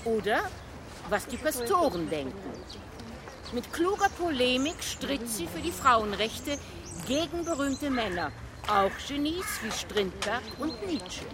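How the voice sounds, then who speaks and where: Casting: female tour guide, high animated voice, lecturing, clear outdoor noise around her. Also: unhurried. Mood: emphatic, instructive. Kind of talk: expository guided-tour speech in radio drama.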